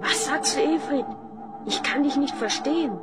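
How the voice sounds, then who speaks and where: Actor playing high-voiced, resolute talking dog. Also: normal speed, loud music behind the voice, lightly acted. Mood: puzzled. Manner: earnest, commanding, urgent. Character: resolute talking dog leader, earnest and urgent. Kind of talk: children's fantasy radio play acting.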